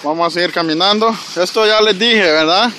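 A chatty man with a high, bright voice, talking to his viewers as he walks down a street. Recorded outdoors on a handheld phone, with faint traffic noise behind him. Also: a little fast. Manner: chatty, addresses viewers directly, frequent "¿verdad?". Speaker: vlogger walking and filming. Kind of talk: casual walking vlog narration. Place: snowy city street outdoors. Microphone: handheld phone camera.

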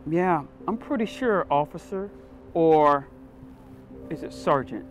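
A man playing a middle-aged police captain, calm, shrewd and skeptical, in a scripted short drama. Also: fairly high pitch. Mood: wary, skeptical. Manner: measured, skeptical. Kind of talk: scripted short-film acting. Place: outdoors in a parking lot.

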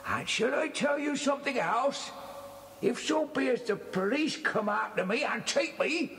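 Spiteful simple old country bloke, really high voice, slow rambling, old cassette drama. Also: male voice, lively intonation, a bit bright, exaggerated voice. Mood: gloating, spiteful. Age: older. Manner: muttering, cackling, rustic dialect speech. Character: simple, spiteful old kennel keeper, chuckling, rustic. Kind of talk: 1980s children's audio drama acting. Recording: echoey cellar reverb on old cassette.

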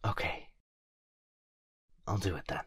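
A man playing an obsessive, doting fox-spirit husband in an intimate ASMR roleplay, speaking softly and tenderly, close to the microphone. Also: no echo. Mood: tender, concerned. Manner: soft, hushed, tender, halting delivery. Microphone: close binaural microphone.

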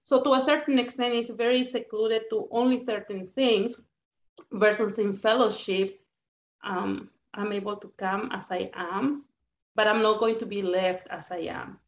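A woman's voice: medium pitch, fairly even intonation, measured pace. A woman from Peru with a Spanish accent, talking earnestly as a panelist on a video call and sometimes searching for words. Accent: Peruvian Spanish. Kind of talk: roundtable discussion. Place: home room on video call. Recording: roomy, muffled video call.

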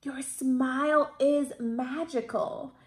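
A woman voicing a lovelorn young storybook prince in a dramatic, drawn-out manner. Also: high voice, steady tone. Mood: smitten. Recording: roomy indoor echo.

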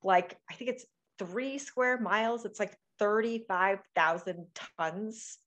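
A woman's voice: medium pitch, slow, fairly bright tone. A woman in her thirties from Oregon, a guest author chatting casually on a video call, often restarting her sentences. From Oregon, USA. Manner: chatty, many restarts and fillers. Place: home room with bookshelves. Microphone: video call webcam.

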